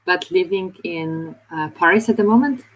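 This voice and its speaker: Low husky woman. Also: moderate pace, rough recording. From Poland.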